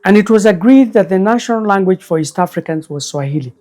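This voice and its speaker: An older man, a guest elder recalling the past in slow, measured speech. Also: high-pitched, lively intonation, mellow. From Kenya. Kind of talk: reflective storytelling interview.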